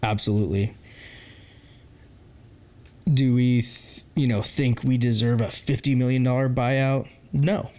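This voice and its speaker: A man's voice: mid-range voice, fairly even intonation, unhurried, long pauses, dark tone. A forty-year-old man answering interview questions as a brewery founder, candid and rambling, with lots of fillers and laughs. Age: 40s.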